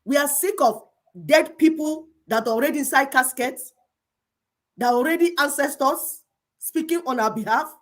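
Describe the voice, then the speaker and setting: A middle-aged woman with a Nigerian Igbo accent delivers fervent commentary. Her voice sits a little high. She drives her points home with repetition and a preaching rhythm. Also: normal speed, a bit bright. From Igbo people of Nigeria. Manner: emphatic, preaching cadence with repetition. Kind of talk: impassioned commentary.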